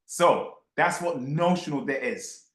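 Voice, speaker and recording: A man's voice: a little high, lively intonation, takes it slowly, a bit bright, roomy sound. A man hosting a live online group discussion, speaking emphatically and insistently and repeating phrases for effect. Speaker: host of an online book club discussion.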